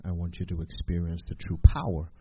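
A man leading a church group session in a relaxed, joking, then earnest way. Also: low-pitched, fairly quick. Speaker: Bible study leader. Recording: dull lo-fi room recording with clear background noise.

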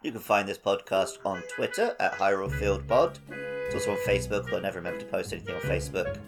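A man's voice: sits low, fairly even intonation, fast, vocal fry. A man co-hosting a podcast, chatting casually about video games with a wry, jokey manner. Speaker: podcast co-host. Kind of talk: casual video game discussion. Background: background music.

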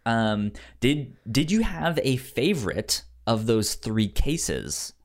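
A man co-hosting a casual film discussion podcast, halting and repeating words as he works out his opinions. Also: medium pitch, big pitch swings. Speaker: podcast co-host reviewing a film.

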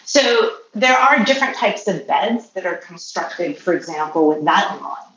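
A woman's voice: on the low side, expressive, normal speed, a bit bright. Woman, native Polish speaker, roomy distant sound. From Poland or Polish-speaking family. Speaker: interview guest, healthcare professional. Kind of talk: conversational interview answers. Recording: roomy, distant mic, faint noise.

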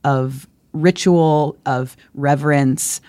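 A woman's voice: deep voice, steady tone, slow, mellow, dry recording. A woman co-hosting a podcast who is a singer, talking expressively and laughing easily in casual chat.

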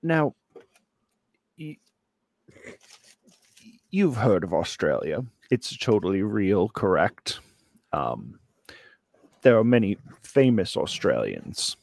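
A man giving a comic mock lecture in a put-on Australian accent, hesitant and deadpan. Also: on the low side, slow pace, vocal fry, long pauses, no room sound. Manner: putting on a joke Australian accent. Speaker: comic presenter giving a slideshow talk. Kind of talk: mock lecture, improvised comedy presentation.

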